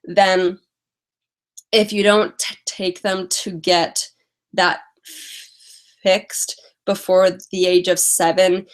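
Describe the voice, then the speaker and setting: A woman's voice: on the low side, flat delivery, slow pace, long pauses. A young woman vlogger chatting casually on a live stream, stuttering and repeating the first sounds of words.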